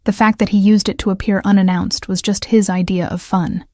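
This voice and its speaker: Mellow, even-voiced woman narrating. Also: mid-range voice, brisk, no room sound, studio quality. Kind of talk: scripted story narration.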